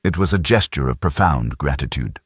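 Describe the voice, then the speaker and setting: A man's voice: very deep voice, lively intonation, moderate pace, slightly rough, no echo, clean studio sound. A man narrating a science fiction audiobook in a dramatic, scripted style.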